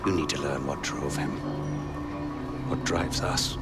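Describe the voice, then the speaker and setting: A man's voice: extremely low pitch, fairly even intonation, unhurried. An actor playing an angry male rebel leader in a video game cutscene, blunt and accusing. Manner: scornful, forceful. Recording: loud music underneath.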